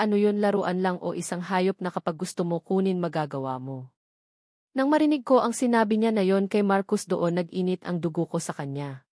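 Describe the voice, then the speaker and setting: A woman narrates a first-person romance story. Her voice sits at an average pitch for a woman. The sound is dry, with very little room in it. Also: a little mellow, no room sound. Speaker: audiobook narrator.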